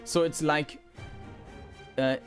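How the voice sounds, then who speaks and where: Slow-talking man over game music. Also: on the high side, fairly even intonation. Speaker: game reviewer commentator. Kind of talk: unscripted preview commentary over gameplay.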